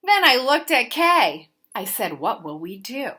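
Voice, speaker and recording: A woman reading a children's picture book aloud like a teacher, with expressive, deliberate, sing-song emphasis. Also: on the high side. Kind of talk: animated children's storybook read-aloud.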